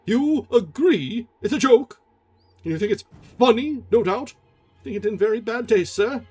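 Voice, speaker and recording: A blunt, imperious manager who roars his commands at high pitch and full force, clipped and sarcastic, with a husky grain in the voice, as a male narrator acts him out. Soft music sits underneath. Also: expressive, measured pace, exaggerated voice.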